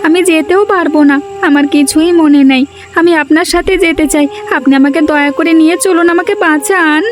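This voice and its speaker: A woman's voice: high voice, fairly even intonation, fairly quick, natural voice. A woman voicing a frightened, pleading little village boy in a cartoon. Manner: plaintive, pleading. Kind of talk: cartoon dub acting.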